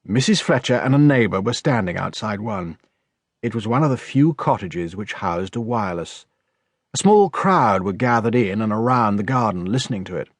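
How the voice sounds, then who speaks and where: A man's voice: fairly low pitch, animated, moderate pace, dry recording, studio quality. A man with a British accent reading scripted narration from a children's novel as an audiobook narrator. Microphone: close, dry studio recording.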